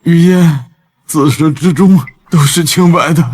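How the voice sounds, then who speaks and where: A man's voice: on the high side, measured pace, darkish tone, husky. A man playing an older village chief in a drama, guilty and cowardly, with a pleading, faltering delivery. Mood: guilty, broken confession. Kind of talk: dramatic audio drama acting.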